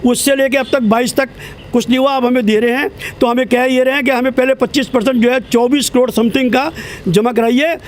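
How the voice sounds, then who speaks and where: A man's voice: very high voice, steady tone, fairly quick, a little hiss or hum. A man in his seventies speaking at an outdoor protest, indignant and insistent, repeating his points. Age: 70s. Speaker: protest spokesman. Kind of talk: street interview.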